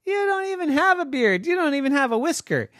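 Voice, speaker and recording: A woman's voice: high-pitched, sing-song, a little fast, a bit bright, voice pushed up. A woman voicing a cranky old giant squid in a children's story, grumpy and scolding.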